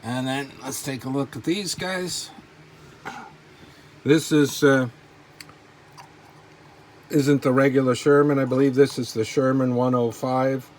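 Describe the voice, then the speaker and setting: A man with a mid-range voice talks casually through items in a collection. He sounds informal and enthusiastic, rambling along without a script. There is clear noise behind his voice. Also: mid-range voice. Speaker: collector presenting models and memorabilia. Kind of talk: casual unscripted show-and-tell narration.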